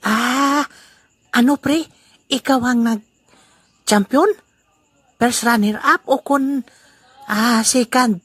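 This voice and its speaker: A woman's voice: fairly high pitch, fairly bright tone, breathy edge, full character voice. A woman voicing a boastful, joking old grandfather who is poor but proud, speaking in a teasing way in an amateur audio drama. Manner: teasing, laughing, slow deliberate delivery. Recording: noisy amateur recording.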